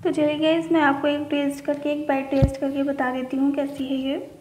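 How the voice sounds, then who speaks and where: High-voiced woman reviewing a chocolate bar. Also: level tone, brisk. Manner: chatty, addresses viewers as guys, mixes English phrases. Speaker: food channel host reviewing chocolate. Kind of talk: casual product review vlog in Hindi-English mix. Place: indoors at a table. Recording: echoey room with faint noise.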